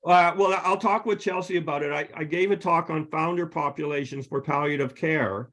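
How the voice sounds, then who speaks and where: A man's voice: a little high, steady tone, moderate pace, a bit bright. Hesitant man answering questions. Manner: hesitant, restarts often. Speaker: physician lecturer. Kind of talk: casual Q&A after a lecture.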